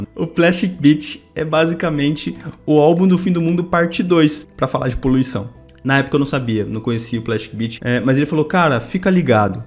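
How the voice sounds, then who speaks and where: A young Brazilian man with a São Paulo state accent speaks casually to his viewers over background music. His mid-pitched voice moves through personal anecdotes in loose slang, slipping into quoted dialogue as he goes. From Campinas, Brazil. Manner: informal, slangy, animated retelling with quoted dialogue. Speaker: video creator telling personal stories.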